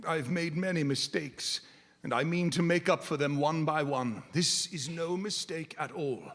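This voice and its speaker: A man's voice: a little high, a little slow, a bit bright. A man playing a reformed old miser in live radio theatre, stammering, exclaiming and full of joy and gratitude. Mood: tender, repentant. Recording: reverberant hall, live stage performance.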